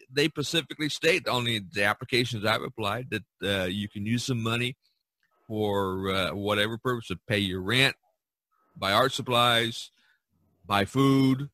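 A man hosting a casual podcast discussion, talking in a rambling, halting way with repeated phrases. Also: mid-range voice, sharp tone, dry recording. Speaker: podcast host and artist.

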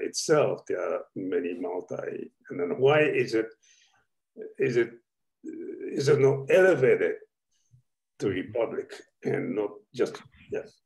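A man in a seminar on a video call who asks long questions in a halting way, with many restarts and fillers. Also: lowish voice, creaky, darkish tone, poor audio. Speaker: audience member asking questions.

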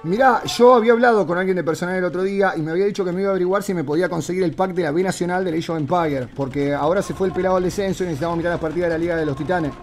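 A man's voice: high-pitched, very fast, hardly any pauses, faint music behind. An Argentine man with a Rioplatense accent, a live streamer rambling straight-faced through an improvised prank phone call. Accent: Argentine Rioplatense Spanish. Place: indoor home streaming room.

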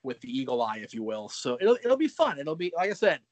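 A man's voice: a little high, wildly swooping pitch, fast, no room sound. Chatty man hosting a video call. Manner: chatty, self-deprecating, lots of fillers and restarts. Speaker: podcast host. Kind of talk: casual chat. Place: home room with brick wall, indoors. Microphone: webcam video call.

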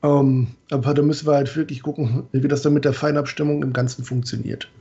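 A man's voice: medium pitch, level tone, a little fast, gravelly, dark tone, breathy edge. A man in his thirties chatting casually as a panel member on a group video call from a small room. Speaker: project co-writer, panel member. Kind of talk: casual livestream chat. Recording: slightly dull, lo-fi call audio.

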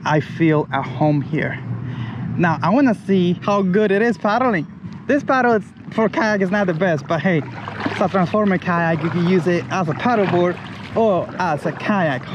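Excited man vlogging out on the water. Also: high-pitched, moderate pace, lo-fi sound. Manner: enthusiastic, calls out speeds aloud. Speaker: vlogger reviewing a paddleboard kayak. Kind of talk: casual outdoor demo vlog. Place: outdoors on shallow coastal water. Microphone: action camera on board and shore. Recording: outdoor wind and water noise.

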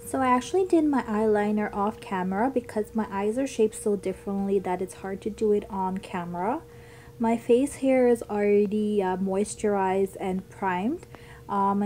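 A woman's voice: medium pitch, warm tone, a little hiss or hum, poor audio. A young woman with a childlike voice narrating a makeup tutorial in a chatty, upbeat way. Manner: chatty, upbeat product talk with ums. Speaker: beauty vlogger.

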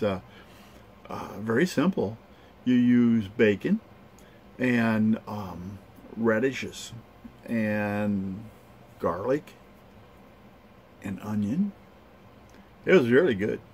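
An older man in his sixties chats through his camp vlog in a folksy, easygoing way, chuckling now and then. He takes his time with every phrase and keeps a middle-pitched voice. He holds the camera close inside a camper. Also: husky. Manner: chatty, folksy, chuckles often, slow drawn-out phrasing. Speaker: outdoor cooking vlogger. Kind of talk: casual camp vlog narration. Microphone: camera held close to the face.

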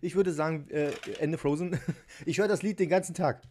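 A man's voice: fairly high pitch, moderate pace, dark tone, slight background noise. Giggly, chatty man building toys. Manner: chatty, giggly, self-mocking. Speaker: toy unboxing vlogger. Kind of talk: casual chat while building a toy set. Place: home tabletop filmed from above.

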